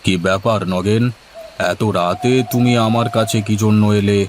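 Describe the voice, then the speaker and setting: A man's voice: lowish voice, steady tone, normal speed, noisy background, natural voice. Commanding village headman played by a man. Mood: wary, commanding. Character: village headman, self-important patron, pleased by flattery. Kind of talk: Bengali cartoon dubbing.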